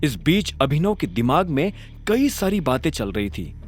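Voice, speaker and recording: A male storyteller reads scripted narration with dramatic flair. His fairly high voice rises and falls with a lot of movement. He sounds like the narrator of an audio drama series. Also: sing-song, measured pace, faint music behind.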